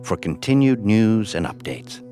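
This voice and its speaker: A man's voice: lowish voice, sing-song, measured pace, over music. A man reading a scripted host's sign-off for a horror audio series, addressing the listener in a theatrical manner. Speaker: series host and producer.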